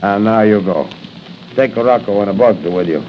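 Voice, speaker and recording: An actor playing a sinister, commanding underworld boss in 1940s radio style. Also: male voice, on the low side, normal speed, natural voice. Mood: coldly threatening. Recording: muffled noisy 1940 radio recording.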